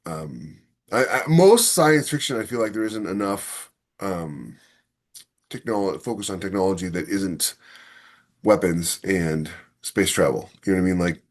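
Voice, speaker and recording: Casting: male podcast host, lower-pitched voice, loose conversational delivery that works ideas out aloud. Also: animated, unhurried. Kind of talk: casual podcast discussion.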